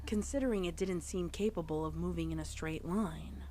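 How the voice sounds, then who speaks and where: A woman's voice: on the low side, normal speed, mellow. A woman reading fan fiction aloud as a storyteller, with casual reaction asides. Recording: faint background noise.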